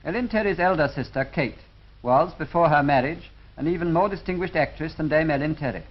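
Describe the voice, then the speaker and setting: A man with a British accent narrating a scripted 1930s film in a formal, measured way. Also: on the high side. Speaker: documentary narrator. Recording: dull lo-fi 1930s film soundtrack.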